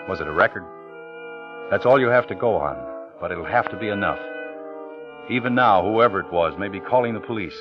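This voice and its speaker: A man plays a tense American killer from a vintage radio thriller. His low voice moves in clipped, intent phrases, anxious underneath and coolly polite on top. The old recording is muffled, with music prominent behind him. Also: lightly acted. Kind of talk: 1940s-50s radio suspense acting, narrating.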